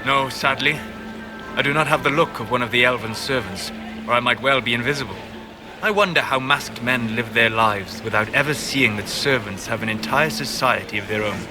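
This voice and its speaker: An actor playing a serene, wry elven sage in scripted fantasy game dialogue, measured and eloquent. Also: male voice, mid-range voice, natural voice. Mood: calm, advising. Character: serene, wry male elven sage who wandered spirit realms. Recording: music behind the voice.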